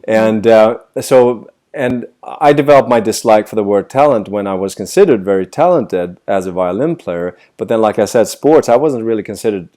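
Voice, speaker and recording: A man's voice: lowish voice, animated, normal speed, few pauses, dark tone. A middle-aged man from Sweden, a voice coach answering interview questions thoughtfully over a video call and posing many rhetorical questions.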